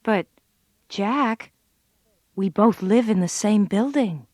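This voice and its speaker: A woman's voice: medium pitch, takes it slowly, a little mellow, no room sound. Lady playing sardonic office computer gal in a radio comedy, muttery n fed up, super dry sound. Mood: reproachful. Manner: wry, muttering asides. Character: Liz, sardonic, exasperated female office computer worker. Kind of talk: comic radio drama acting.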